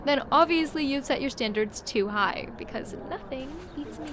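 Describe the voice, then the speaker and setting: A woman plays a playful, bossy little sister in an audio drama. The voice sits high. The delivery is bantering and conversational, with a temper that flusters easily. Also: normal speed, a bit bright. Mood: teasing.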